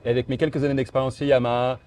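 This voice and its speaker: A man's voice: mid-range voice, flat delivery, very fast, darkish tone. A man representing a motorcycle brand, answering interview questions in a casual, hesitant way, with many fillers and restarts.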